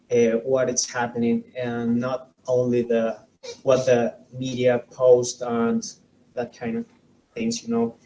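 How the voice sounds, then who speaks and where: A man with a warm, mellow voice hosts an interview. He builds his questions slowly, filling gaps with fillers while he searches for the words. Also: medium pitch, low-quality recording. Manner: slow, searching phrasing with fillers. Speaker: interview host. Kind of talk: conducting an interview.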